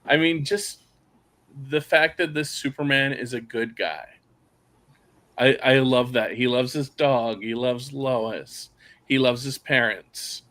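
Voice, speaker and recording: Measured middle-aged man. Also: mid-range voice, a bit bright. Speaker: guest reviewer. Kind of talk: casual chat.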